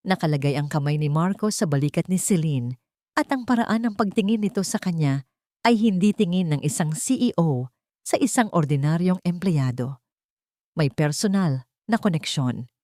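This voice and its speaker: A woman reading a scripted romantic drama as a storyteller, with a measured, dramatic delivery. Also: deep voice, mellow, no echo, clean studio sound. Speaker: story narrator.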